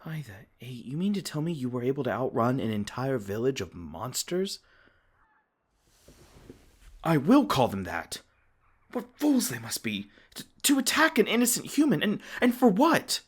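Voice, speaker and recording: A man voicing a gentle, protective male fae king in an ASMR comfort roleplay, soft-spoken and tender, stumbling over his words now and then. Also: mid-range voice, sing-song, moderate pace, husky. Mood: alarmed, indignant.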